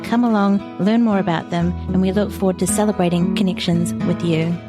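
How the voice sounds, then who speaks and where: A woman's voice: lowish voice, fairly even intonation, fairly quick. A woman podcaster reading a scripted promotional announcement. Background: plucked guitar music.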